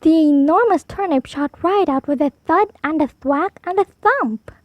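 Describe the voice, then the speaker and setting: A woman storyteller reading a picture book aloud for preschoolers, animated, drawing out key words for emphasis. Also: extremely high pitch, normal speed, no room sound. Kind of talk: expressive read-aloud for preschoolers.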